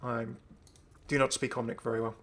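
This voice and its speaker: A man hosting a gaming video with casual, enthusiastic commentary in a creaky voice. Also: mid-range voice, little pitch movement, brisk, noisy background. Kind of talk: casual commentary on a game update.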